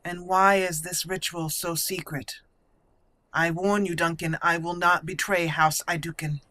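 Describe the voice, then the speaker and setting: A woman voicing a guarded young female mage recruit in a fantasy game roleplay, speaking formally and deliberately. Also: fairly low pitch, little pitch movement, pushed and bright, poor audio. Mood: guarded, questioning.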